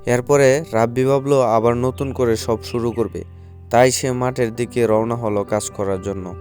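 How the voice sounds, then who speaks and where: A man's voice: mid-range voice, fairly even intonation, measured pace, a little mellow. A man reading scripted storybook narration for a cartoon. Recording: faint music behind the voice.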